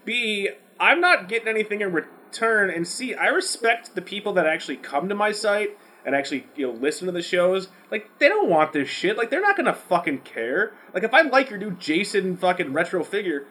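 A man's voice: sits high, fairly quick, hardly any pauses. A man chatting casually as a podcast host, talking in a rambling, slangy way with plenty of swearing. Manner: profane, slangy, animated rambling. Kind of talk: casual unscripted podcast chat.

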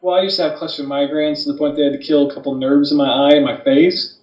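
A man co-hosting a podcast, telling personal anecdotes in a casual, matter-of-fact way with halting phrasing. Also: on the high side, little pitch movement, darkish tone, lo-fi sound. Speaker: podcast co-host. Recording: roomy, distant from microphone.

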